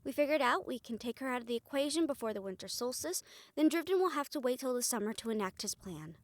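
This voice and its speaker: A woman's voice: high-pitched, expressive, quick pace, no echo. A woman playing a defiant, determined young woman in a fantasy audio roleplay, earnest and sardonic when challenged. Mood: firm.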